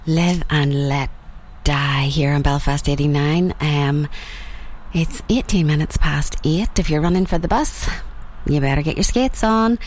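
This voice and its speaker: Northern Irish woman, morning radio presenter, husky, slightly low voice, chatty with plenty of ums, studio mic. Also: unhurried, darkish tone, slight background noise. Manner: chatty, friendly, with frequent ums. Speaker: radio host.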